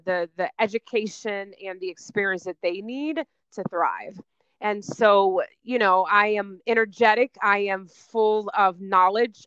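A woman's voice: medium pitch, fairly bright tone, clear, resonant tone, no room sound, dull audio. A woman guest talking about her career in an emphatic, self-assured way in a podcast interview. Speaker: guest, fitness and wellness coach. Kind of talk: energetic interview answers.

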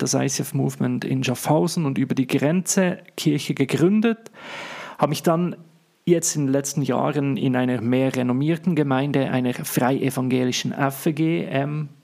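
A man's voice: on the high side, vocal fry, a little mellow. A man in his thirties from Switzerland with a Swiss accent, hosting his own podcast in an earnest, reflective way, thinking aloud with the odd filler. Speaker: podcast host. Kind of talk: solo podcast monologue.

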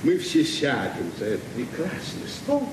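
An actor playing a courteous, whimsical old-fashioned neighbour, florid and quizzical, in a noisy old radio play. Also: male voice, mid-range voice, huge pitch swings, unhurried, roomy sound, distant mic. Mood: playful, florid. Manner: florid, polite, quizzical, theatrical.

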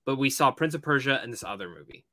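A man co-hosting a podcast, chatting casually about movies in an animated, slangy way with plenty of swearing. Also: medium pitch, fast talker, no room sound, clean studio sound. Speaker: podcast co-host.

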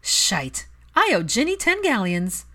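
A woman's voice: medium pitch, huge pitch swings, measured pace, sharp tone, pitched above the performer's own voice, heavily acted. A woman voicing a nosy young woman friend in an audiobook, with a pointed, mocking, impatient manner. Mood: smug, emphatic.

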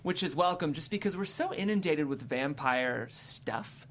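A woman's voice: low voice, animated, normal speed. A woman hosting a show and reading scripted stage directions with enthusiasm. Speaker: host and stage-direction reader.

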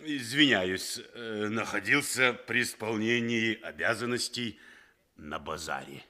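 A bumbling village policeman in a radio play. His voice is fairly low and swings expressively, sheepish and apologetic. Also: animated, measured pace, pushed and bright, heavily acted. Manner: hesitant, apologetic. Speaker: junior policeman. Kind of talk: Russian radio play acting.

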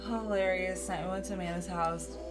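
A woman's voice: on the low side, steady tone, brisk. A woman guest on a podcast, chatting enthusiastically with many fillers, music playing behind. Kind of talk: casual chat.

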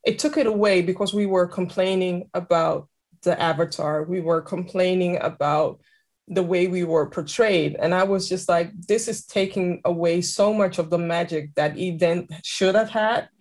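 A woman's voice: on the low side, fairly even intonation, normal speed, darkish tone. A woman speaking earnestly and with emphasis in a casual panel discussion, often restarting her sentences.

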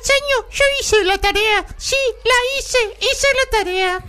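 A woman's voice: extremely high pitch, takes it slowly, sharp tone, exaggerated voice. A woman voicing an innocent, eager small pupil in comic radio theatre, earnest and childishly matter-of-fact.

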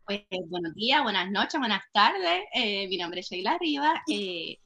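A woman's voice: medium pitch, very animated, a little slow, sharp tone. Puerto Rican woman guest, muffled sound. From Puerto Rico. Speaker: podcast guest.

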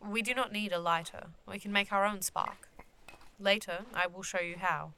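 Otherworldly guide, female actor, fantasy audio drama: composed, formal, measured wording, bright forward tone, mid pitch. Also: steady tone, slight background noise. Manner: measured, formal, avoids contractions. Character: calm, formal otherworldly guardian guiding the dead.

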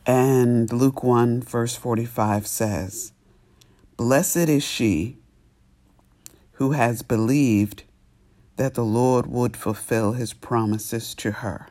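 A man preaching a sermon as pastor, sounding a little sniffly, and speaking in deliberate, emphatic phrases broken up by pauses. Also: mid-range voice, steady tone, a little mellow.

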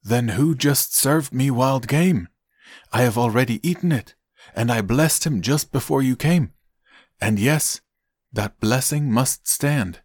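A man voicing an old, frail father in a Bible story, solemn and deliberate as he declaims. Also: medium pitch, no echo, studio quality, voice pushed up, close to an everyday voice. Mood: shaken. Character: old frail solemn father, a biblical patriarch blessing sons.

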